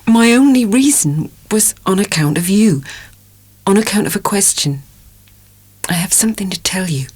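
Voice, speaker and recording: A woman's voice: mid-range voice, expressive, normal speed, darkish tone, husky. An actress playing an anguished, tender young dairymaid in love in a dramatic audiobook, hesitant and impassioned as she hides a secret. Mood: hesitant, subdued.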